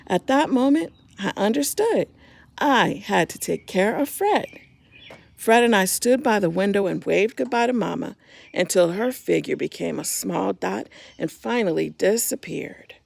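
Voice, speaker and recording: Very creaky-voiced woman reading a children's story. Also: medium pitch, measured pace, dry recording. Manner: expressive, simple, talks directly to young listeners. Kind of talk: read-aloud storytime for young children.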